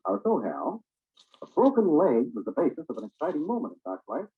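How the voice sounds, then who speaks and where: An actor playing a folksy newspaper editor bantering with a reporter in 1940s radio drama style. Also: male voice, on the high side, wildly swooping pitch, fairly quick, slightly rough, heavily acted. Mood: wry, reminiscing. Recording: muffled old radio broadcast recording.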